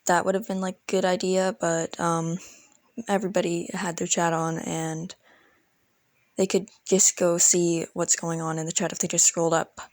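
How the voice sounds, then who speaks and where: Girl doing a casual rambly storytime, lots of slang, lowish voice, dry close recording. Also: flat delivery, normal speed, dry recording. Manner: rambling, informal, youthful slang. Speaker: storytelling video creator. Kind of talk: casual storytime about an online roleplay game.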